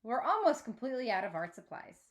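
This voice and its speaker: A woman narrating a story aloud in a measured, expressive read-aloud style, like a teacher reading to pupils. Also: a little high.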